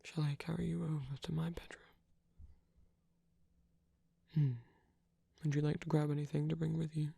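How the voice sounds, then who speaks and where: A performer voicing a soft-spoken villain in an intimate romantic ASMR roleplay, gentle, protective and hesitant, with a breathy, husky, often whispered delivery. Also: mid-range voice, flat delivery, fast talker, no room sound. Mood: affectionate, coaxing. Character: soft-spoken villain, tender and protective toward abandoned hero.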